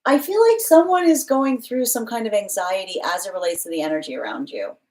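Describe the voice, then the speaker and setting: A woman's voice: medium pitch, animated, fairly quick, darkish tone. A woman giving a live-streamed tarot reading, thinking aloud, reading viewer comments out and often restarting her phrases. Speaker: tarot reader.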